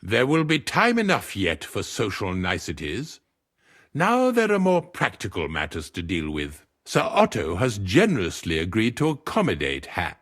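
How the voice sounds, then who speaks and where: Officious steward with swooping intonation. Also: male voice, lowish voice, fairly bright tone, heavily acted. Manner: formal, condescending, pedantic. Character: officious male steward of a medieval lord. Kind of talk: video game cutscene acting.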